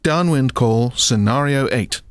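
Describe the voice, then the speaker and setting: A man's voice: mid-range voice, a little slow, background noise. A man giving short scripted announcements of exercise scenarios.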